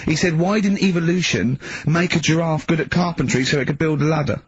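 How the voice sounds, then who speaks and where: A man's voice: on the high side, brisk, slightly rough, no room sound, dull audio. A man telling an anecdote in casual radio banter. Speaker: radio show host.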